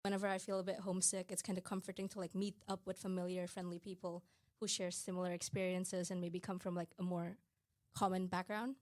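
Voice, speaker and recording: A woman's voice: on the low side, flat delivery, fairly quick, a little mellow, no echo, clean studio sound. A young woman from Indonesia, a student guest, chatting casually and filling her talk with "like". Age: young adult. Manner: chatty, with frequent "like" fillers. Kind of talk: casual chat.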